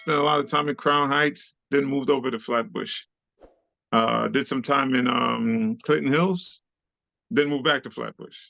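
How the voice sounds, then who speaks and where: A man talks in a casual interview. His is Haitian Creole, and he tells animated, slangy stories with frequent laughs. His voice has a gravelly fry, and the sound is dry and close. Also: mid-range voice, unhurried, no room sound. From Haiti, raised in Brooklyn, New York. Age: 60s. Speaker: interview guest, club dancer.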